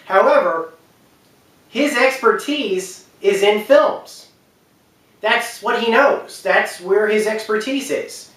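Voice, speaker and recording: In a very echoey room, some distance from the microphone, a man talks off the cuff. He has a slightly high voice and rambles through his opinions, hesitating, doubling back and leaning hard on key points. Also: slow, a bit bright. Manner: rambling, hesitant, often backtracking. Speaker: host giving video commentary. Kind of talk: unscripted opinion talk.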